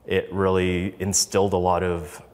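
A man, telling a personal story in an interview with conversational, halting phrasing that often repeats words. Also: sits low, monotone, a little mellow, in a large hall. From Canada, raised in Calgary from infancy. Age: 30s. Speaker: podcast guest, business co-founder.

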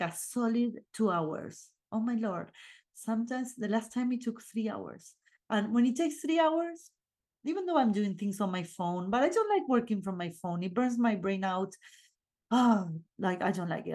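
A woman's voice: mid-range voice, darkish tone. Chatty woman, emphatic and informal. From Argentina. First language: Spanish. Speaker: podcast host. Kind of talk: casual personal monologue with life advice.

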